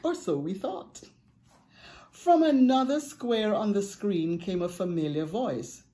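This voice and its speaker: An older woman reads aloud at an unhurried pace, her mellow, lowish voice rising and falling with lively storytelling emphasis. She sounds close to a desk webcam in a quiet home study. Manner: expressive, warm storytelling with dramatic emphasis. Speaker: author reading a foreword. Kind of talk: reading aloud from a book. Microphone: webcam at desk, close framing.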